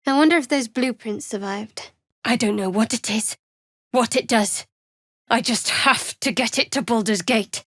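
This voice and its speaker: A woman playing a guarded, devout young cleric of a dark goddess in a fantasy video game, speaking in a measured, dry, slightly wry way. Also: on the high side, no room sound. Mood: guarded, determined. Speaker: video game character voice actor. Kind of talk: scripted fantasy game dialogue.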